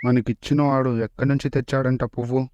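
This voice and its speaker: Rapid-fire blustering cartoon boss played by a man. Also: mid-range voice, level tone, a little mellow, dry recording, faint music behind, close to an everyday voice. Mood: irritated. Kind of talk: animated parody comedy voice acting.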